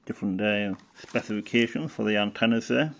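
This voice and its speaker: A man giving casual, unscripted hobbyist commentary, halting and muttering as he browses, often trailing off. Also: on the low side, creaky. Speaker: hobbyist presenter.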